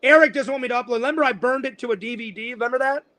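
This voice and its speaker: A man's voice: very high voice, rapid-fire, pushed and bright. A man in his forties hosting a casual interview, rambling and restarting his sentences. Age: 40s. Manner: rambling, repeats words and restarts often. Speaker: host.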